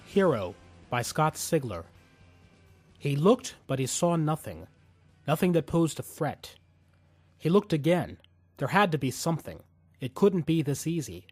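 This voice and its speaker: A man with a slightly high voice delivers scripted commentary and story narration. He is earnest and measured, phrasing things deliberately. Music plays clearly behind him. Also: big pitch swings, darkish tone, dry recording. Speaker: podcast host and story reader.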